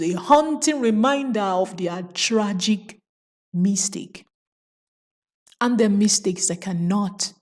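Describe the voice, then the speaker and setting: A woman's voice: mid-range voice, a little mellow. A woman telling a folktale as host, emphatic and moralizing, repeating phrases for dramatic effect. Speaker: storyteller and host.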